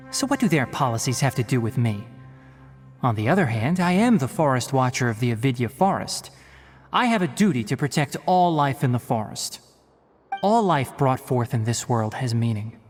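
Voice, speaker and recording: A man's voice: mid-range voice, sing-song, moderate pace, soft background music, close to an everyday voice. A man voicing a stern, scholarly young male forest ranger who speaks in a precise, lecturing way, in a game cutscene. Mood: earnest. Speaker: forest watcher.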